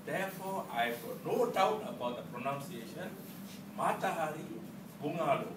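Malaysian male club speaker, theatrical and very lively, higher-pitched voice, recorded from across a room. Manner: theatrical, deliberate pauses and emphatic delivery. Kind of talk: humorous prepared stage speech. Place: indoor club meeting room. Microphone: far camera. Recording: distant mic in echoey room, noisy.

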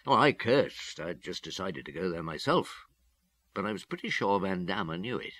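An actor playing a reflective police detective, recounting a past case in a measured way for a radio drama. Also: male voice, deep voice, big pitch swings, pushed and bright, no echo, exaggerated voice. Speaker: detective narrator. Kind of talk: old radio drama storytelling.

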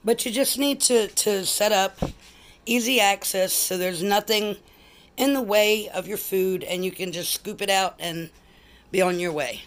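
A woman's voice: medium pitch, measured pace. A woman, chatting casually as she walks viewers through her buffet table. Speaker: collector showing a buffet table. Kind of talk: casual show-and-tell vlog. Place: home dining room, indoors. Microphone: handheld phone camera.

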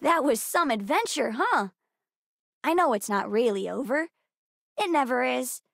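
A young girl from a space adventure game, her voice high and small. She is bookish and endlessly curious, chatting earnestly like a child among grown-ups. The sound is close and dry, with no room around it. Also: expressive, a little slow, gravelly, a bit bright, dry recording, heavily acted. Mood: chatty, curious. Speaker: video game voice actress. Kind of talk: video game character dialogue.

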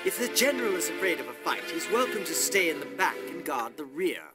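A man's voice: fairly high pitch, big pitch swings, a little fast. A man voicing an arrogant, bloodthirsty young knight in a video game, boastful and scornful in a theatrical way. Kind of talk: scripted video game voice acting. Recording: loud music under the voice.

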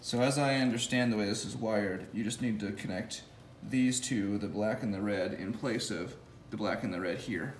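A man's voice: lowish voice, moderate pace, hardly any pauses, roomy sound, slight background noise. A man casually talking through his motorcycle wiring in a home garage, thinking aloud with fillers and restarts, recorded close on a phone camera. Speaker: vlogger building an electric motorcycle. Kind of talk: casual workshop walkthrough.

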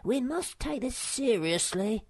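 A woman voicing a small, timid and bossy male creature in a storybook reading, squeaky and fretful. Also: lively intonation, measured pace, darkish tone, husky, heavily acted.

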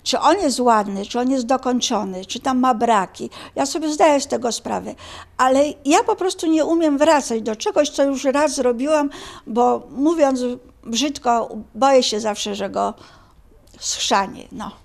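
An older woman talking informally in an interview, haltingly searching for her words. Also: high-pitched, animated, vocal fry, a little mellow. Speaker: amateur painter being interviewed.

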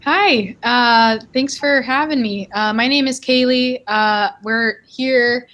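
A woman's voice: fairly high pitch, takes it slowly, fairly bright tone, muffled recording. A young adult woman asking a panel a question over a video call from an office, polite and hesitant, with many fillers. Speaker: audience member asking a question. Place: office with a window.

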